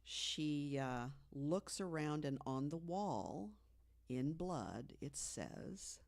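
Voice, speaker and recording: A woman on a podcast, casually telling an urban legend, with lots of "you know" and hushed tones for the scary moments. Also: deep voice, animated, drawn-out words, gravelly. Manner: chatty storyteller, fills with "you know", drops to hushed tones for the scary parts. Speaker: podcast co-host. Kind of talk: casual storytelling chat.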